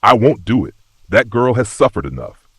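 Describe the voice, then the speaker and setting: A defiant, short-tempered fanged investigator acted by a man in a dark supernatural audio story. The delivery is tense and growling, husky in tone, with pitch that jumps about sharply. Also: big pitch swings, moderate pace, dry recording, full character voice. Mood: indignant.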